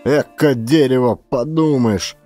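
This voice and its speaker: A man's voice: medium pitch, takes it slowly, warm tone, soft background music, natural voice. A male actor voicing a bossy village elder who scolds insistently, in an audiobook play. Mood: impatient.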